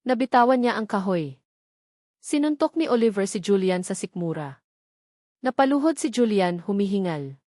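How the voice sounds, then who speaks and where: A woman's voice: mid-range voice, lively intonation, normal speed, long pauses, warm tone, no echo, studio quality. A woman telling a first-person dramatic love story in an earnest storyteller's voice.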